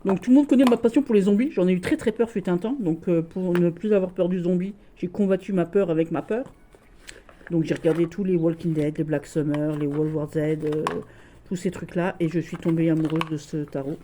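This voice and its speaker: Woman, Lorraine accent, lowish mellow voice, halting enthusiast; light background noise. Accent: Lorraine French. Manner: halting and gushing, many restarts and fillers. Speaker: tarot deck reviewer. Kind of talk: casual tarot deck showcase.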